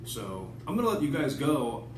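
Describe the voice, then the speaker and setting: A man's voice: medium pitch, big pitch swings, moderate pace, a little mellow. A man talking casually and off the cuff in a blunt, rambling, sometimes profane way, as the host of his own vlog. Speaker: writer hosting his own video channel. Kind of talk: casual unscripted vlog talk. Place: indoors at home in an apartment. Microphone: tablet camera at a distance. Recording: distant, echoey room with clear background noise.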